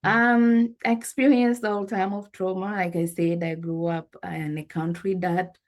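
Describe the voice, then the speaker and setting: A woman's voice: on the low side, flat delivery. A woman in her forties from Rwanda, telling her life story as an interview guest in an earnest, reflective way. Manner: earnest, reflective, pausing with fillers.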